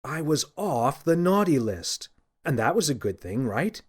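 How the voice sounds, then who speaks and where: Expressive male children's audiobook narrator. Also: fairly high pitch, measured pace, husky. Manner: dramatic, storytelling in first person. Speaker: author narrating own audiobook.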